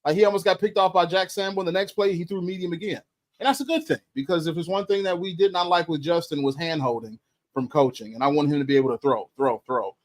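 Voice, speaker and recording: A man's voice: on the high side, fast. A man co-hosting a podcast, talking sports casually, weighing his words with frequent ums and hedges. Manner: thoughtful, hedging, with frequent ums. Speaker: podcast co-host.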